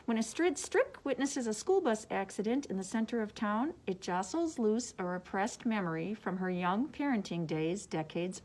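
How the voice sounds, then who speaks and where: A woman's voice: on the high side, a little mellow, rough recording. A woman librarian presenting book recommendations, partly reading summaries aloud.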